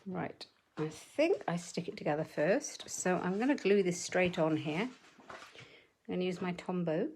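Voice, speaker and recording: A woman demonstrating card-making in a chatty, step-by-step way, her voice a little croaky. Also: fairly low pitch, lively intonation, a little mellow. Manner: chatty, measured step-by-step instructions. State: slightly croaky voice. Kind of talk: live crafting tutorial.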